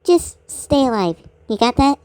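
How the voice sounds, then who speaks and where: High-pitched, very animated woman. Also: a little slow, no echo. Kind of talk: amateur cartoon voice acting.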